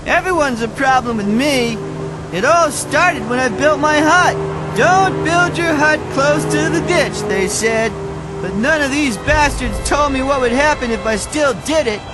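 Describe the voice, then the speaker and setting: Very high-pitched, paranoid male grouch for a game, aggrieved and whining, music blaring. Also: poor audio. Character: cranky, bitter, paranoid male hut-dweller. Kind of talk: video game dialogue. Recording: loud music over the dialogue.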